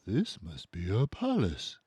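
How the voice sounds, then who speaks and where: A small, wistful toy bear from a storybook, as played by a man, speaks with innocent wonder. The delivery is earnest and slow, with a lively swing in pitch. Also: slightly rough, no room sound, studio quality, exaggerated voice. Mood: awed. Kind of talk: storybook character voice.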